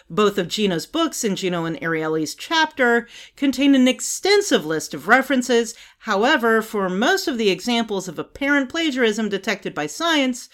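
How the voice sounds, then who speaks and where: A woman's voice: mid-range voice, a bit bright. An older woman from the United States hosting her own podcast, talking casually with many fillers, restarts and wry laughs. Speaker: podcast host. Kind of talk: casual solo commentary.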